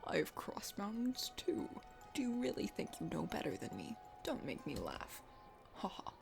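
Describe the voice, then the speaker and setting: A woman's voice: medium pitch, wildly swooping pitch, measured pace, gravelly, in a whisper, voice pushed down, exaggerated voice. A woman voicing a huge, arrogant male dragon prince in a game, haughty, boastful and scornful. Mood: smug, mocking. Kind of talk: dramatic game character reading. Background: music.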